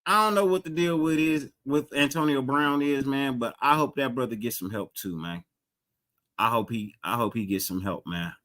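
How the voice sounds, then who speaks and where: A man hosting a casual live sports podcast, greeting chat viewers by name and talking loosely with a mild stutter and repeated words. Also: normal speed. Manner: gets higher and more animated when excited. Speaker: podcast host.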